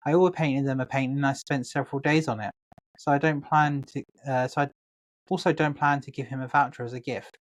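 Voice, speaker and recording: A man's voice: medium pitch, steady tone, fast talker. A man co-hosting a casual podcast, reading posts aloud and joking around with a sweary, laid-back manner. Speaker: podcast co-host.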